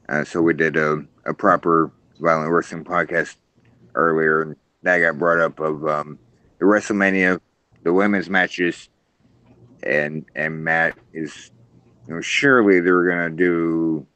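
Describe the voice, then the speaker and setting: A man's voice: extremely low pitch, sharp tone, dry recording. A man co-hosting a casual wrestling podcast who is losing his voice and talks with many hesitant fillers. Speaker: podcast co-host.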